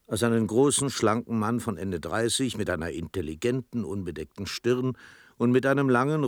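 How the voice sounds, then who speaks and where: An actor playing a reflective storyteller narrating a literary radio drama in a measured, dramatic manner. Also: male voice, mid-range voice, no room sound, studio quality, close to an everyday voice. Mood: explanatory. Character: reflective male storyteller recalling his own tale.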